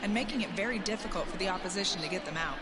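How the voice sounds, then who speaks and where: A woman delivering a polished, scripted sideline report for a baseball broadcast. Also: medium pitch. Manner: brisk and polished. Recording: game audio with loud crowd noise.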